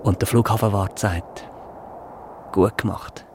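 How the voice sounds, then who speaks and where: An actor playing a brooding dreamer in a radio drama, murmuring a poetic inner monologue in short, half-whispered phrases. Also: male voice, lowish voice, steady tone, measured pace. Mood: quiet, musing. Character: brooding man dreamily musing to himself about flight. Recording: clear ambient noise behind the voice.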